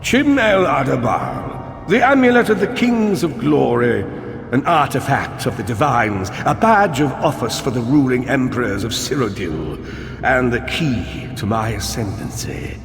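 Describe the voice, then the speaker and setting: An actor playing a cruel, domineering sorcerer villain in a fantasy game, grandiose and menacing. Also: male voice, medium pitch, sing-song, unhurried, creaky. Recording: long reverb, faint music.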